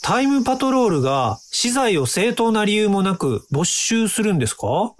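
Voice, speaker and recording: A smug, lilting time traveler. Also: male voice, high voice, big pitch swings, normal speed. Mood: coolly confident. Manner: polite, lecturing, self-assured. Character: calm, smug male time-traveling inventor from the future. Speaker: radio drama actor. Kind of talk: radio drama acting.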